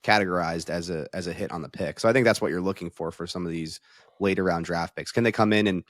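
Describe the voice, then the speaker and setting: Low-voiced man talking football. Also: fast, no room sound, studio quality. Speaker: football analyst. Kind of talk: casual sports panel talk.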